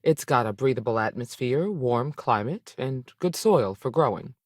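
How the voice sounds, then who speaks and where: An actress playing a stern, dutiful senior space ranger in a video game, speaking in a firm, plain-spoken, authoritative way with folksy frontier phrasing. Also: female voice, extremely low pitch, lively intonation, normal speed, no echo, studio quality. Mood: friendly, informative. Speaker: video game character voice actor. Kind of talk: game dialogue acting.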